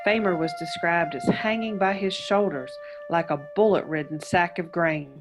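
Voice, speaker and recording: Radio drama chronicler, played by a woman: grave, deliberate, somewhat low register, grim literary narration over music. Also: close to an everyday voice, rough recording. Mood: grave, dramatic. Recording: flute music behind the voice.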